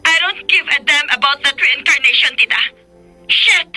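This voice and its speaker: A woman's voice: high voice, moderate pace, sharp tone, faint music behind, rough recording. An actress with a Cebuano accent playing a tipsy, bitter, lovelorn young woman in a radio drama, blunt and defiant, mixing in English.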